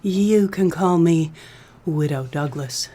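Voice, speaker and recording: Woman as a low-voiced, coy noir femme fatale. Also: slow, dark tone. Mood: coy, flirtatious. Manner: coy, sweet-talking with pet names. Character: coy, scheming femme fatale widow, cloyingly flirtatious. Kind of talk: comedic noir radio play dialogue.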